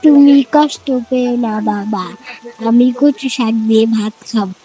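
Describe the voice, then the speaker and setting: Woman voicing a plaintive little village girl, highish voice. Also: unhurried, mellow, slight background noise, natural voice. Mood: consoling. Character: young daughter of poor family, wistful and affectionate. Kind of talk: dramatized cartoon story acting.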